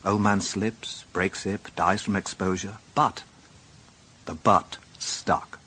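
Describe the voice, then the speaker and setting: A man's voice: deep voice, steady tone, slow, pushed and bright, husky, heavily acted. A British actor playing a dry, sardonic police inspector who narrates his own case in a radio drama. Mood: wry, reflective.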